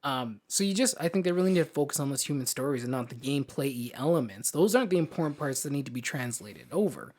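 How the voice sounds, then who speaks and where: A man's voice: medium pitch, animated, fast talker, hardly any pauses, darkish tone. A man co-hosting a podcast, talking casually and emphatically about his opinions in informal language. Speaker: podcast co-host. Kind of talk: casual opinionated film discussion.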